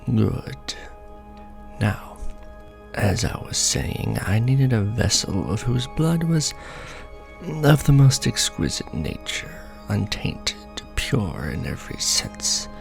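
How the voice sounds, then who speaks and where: A man's voice: fairly low pitch, sing-song, slow pace, creaky, faint music behind, heavily acted. A man playing an ancient, pompous, scheming vampire lord in a theatrical, courtly ASMR roleplay monologue. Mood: calculating, self-satisfied.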